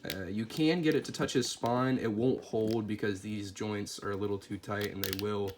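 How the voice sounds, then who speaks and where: A man's voice: on the low side, moderate pace. A man with a stuffy nose and a voice cracking from a cold reviews a toy figure in a chatty, easygoing way, thinking aloud as he handles it. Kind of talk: casual product review. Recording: clear steady background noise.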